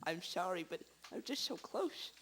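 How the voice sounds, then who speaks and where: A young man playing a desperate newspaper editor turned crook in an amateur radio drama, halting and melodramatic. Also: sits high, animated, a bit bright, slight background noise, rough recording. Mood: pleading. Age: teenager or young adult.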